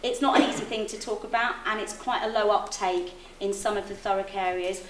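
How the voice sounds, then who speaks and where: A woman's voice: mid-range voice, fairly even intonation, fairly quick. A woman on a meeting panel answering a complaint in a conciliatory, hesitant way, with frequent ums. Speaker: health service official on a meeting panel. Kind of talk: measured panel response. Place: public meeting hall. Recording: echoey hall, distant mic, faint noise.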